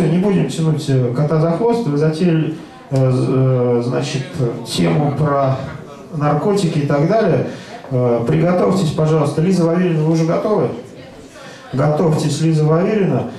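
A middle-aged man hosting and reciting poetry live in a loud, declamatory way. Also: fairly high pitch, creaky. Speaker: host and poet. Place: rock club stage and floor, indoors. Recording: echoey club hall, distant camera microphone.

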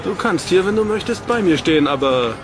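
An actor playing an easygoing flirt in a sci-fi audio drama, casual and playful. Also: male voice, high-pitched, lively intonation, fairly quick, music in the background, a crowd in the background. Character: easygoing male flirt, newcomer in town.

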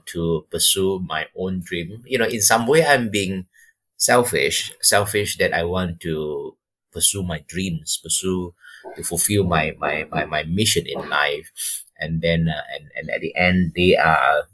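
A man in his fifties with a Malaysian accent, a guest telling his story in a podcast interview, halting and repeating words as he searches for them. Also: low-pitched, a bit bright, slightly rough. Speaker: guest, wildlife biologist.

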